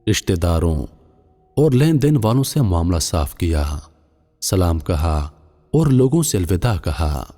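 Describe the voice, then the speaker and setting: Deep, solemn male storyteller in long reverb. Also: sing-song, dark tone. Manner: solemn, deliberate. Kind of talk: scripted religious moral-story narration. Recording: long reverb, music underneath. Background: music.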